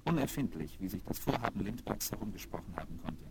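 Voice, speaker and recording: A man's voice: deep voice, lively intonation, fast, heavy background noise. A man narrating a historical adventure radio play for children, on an old vinyl record.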